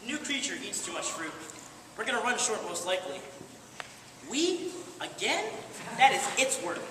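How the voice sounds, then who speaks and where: A woman's voice: mid-range voice, very animated, moderate pace, lightly acted. A young woman playing a grumpy, solitary, put-upon first man in an amateur stage play, complaining in an exasperated, pompous way. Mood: grumbling. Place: large echoey hall, live stage performance. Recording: echoey hall, distant mic, noisy.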